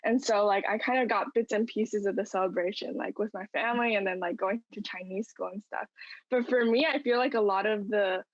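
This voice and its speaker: A woman's voice: mid-range voice, steady tone, brisk, no echo. Tentative young woman, dull dry audio. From United States. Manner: tentative, laughs lightly while talking. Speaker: podcast co-host. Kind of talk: casual podcast chat.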